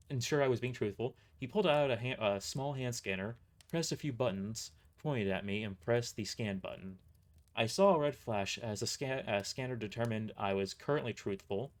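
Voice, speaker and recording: A man reading first-person scripted story narration live, sometimes stumbling over a word and going back over it. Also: medium pitch. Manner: stumbles over words and rereads phrases. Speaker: narrator and voice performer.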